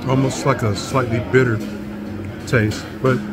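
A middle-aged man giving a casual food review, speaking haltingly and trailing off as he tastes. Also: mid-range voice, flat delivery. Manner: halting, trailing off while tasting. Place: indoor restaurant. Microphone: phone held close to face. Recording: loud music and restaurant crowd behind.

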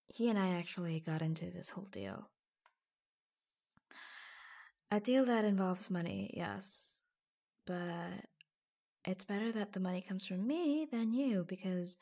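A woman playing an affectionate, proud and reassuring secret office lover in an ASMR roleplay, speaking softly, breathily and hesitantly, often close to a whisper. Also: medium pitch. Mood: confiding, reassuring. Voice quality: soft, breathy. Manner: hushed, hesitant, confiding.

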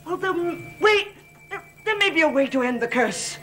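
A middle-aged actress playing a devoted, imploring servant in a solemn gothic soap style. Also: female voice, extremely high pitch, lively intonation, moderate pace, bright, forceful tone, heavily acted. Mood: pleading. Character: devoted, pleading female servant of a cursed master. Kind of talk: gothic television soap opera acting.

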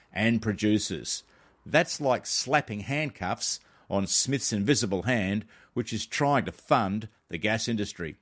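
A middle-aged Australian man reading a scripted explainer as a podcast host, with measured, emphatic phrasing. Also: on the low side.

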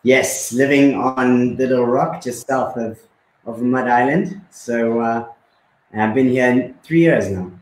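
A man's voice: mid-range voice, measured pace, reverberant, poor audio. A man in his thirties from Zimbabwe, a guest chatting casually with many fillers and repeats. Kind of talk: casual conversation.

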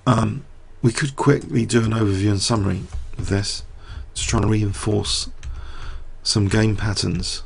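A middle-aged man commentating live on a chess game, talking through the moves and quizzing his viewers, his voice getting croaky. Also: lowish voice, fairly even intonation, unhurried.

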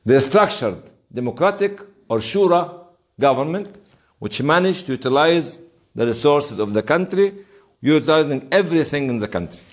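Animated man lecturing a class. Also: fairly high pitch, moderate pace. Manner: emphatic storytelling with rhetorical questions. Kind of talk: informal interactive classroom lecture.

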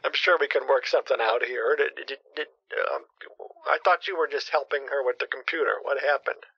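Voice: mid-range voice, fairly quick, husky, no room sound, muffled recording. A performer voicing a bewildered retired older husband on a call, stammering and pleading.

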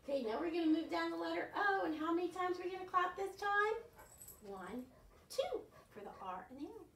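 High-voiced older woman hosting children's storytime. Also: a little fast. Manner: animated, exaggerated gasps and questions to young children. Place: carpeted living room at home. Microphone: camera across the room. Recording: roomy, distant mic with some background noise.

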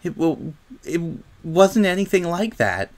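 A man voicing a young, prim pony girl who is defensive and vulnerable, speaking haltingly in a storybook. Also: on the high side, sing-song, a bit bright, voice pushed up. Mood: tearful, hurting. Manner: hesitant, halting. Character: haughty young filly turned sad, defensive, vulnerable.

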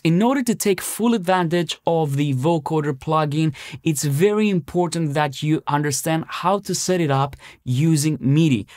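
A man in his thirties presenting an instructional tutorial step by step, with deliberate, emphatic explanations. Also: on the high side, a little mellow, no echo, studio quality. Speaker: music production tutorial presenter. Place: home music studio, indoors.

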